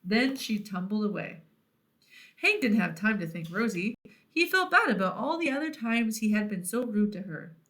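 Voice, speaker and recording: A woman reading a picture book aloud to children in an expressive storytelling style. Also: medium pitch, normal speed. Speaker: children's read-aloud host.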